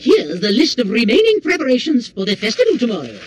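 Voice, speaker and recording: An officious cartoon palace attendant. His high voice swings dramatically as he speaks with formal deference and fussy precision. Music plays underneath. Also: huge pitch swings, quick pace, exaggerated voice. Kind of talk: animated film dialogue.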